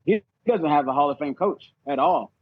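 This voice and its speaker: A man's voice: on the high side, expressive, normal speed, phone-quality sound. A man as a podcast guest in a casual sports debate, arguing his points with teasing emphasis.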